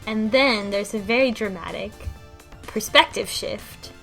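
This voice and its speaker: A woman on a podcast panel casually discussing a game's story, halting and restating as she reasons aloud. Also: medium pitch, vocal fry, a bit bright. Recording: music under the voice. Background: music.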